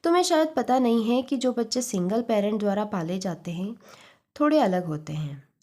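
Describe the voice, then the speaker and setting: A woman voicing an earnest, protective middle-aged mother in a story reading, speaking in a measured, advising way. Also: mid-range voice, animated, dark tone, lightly acted. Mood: earnest, calm.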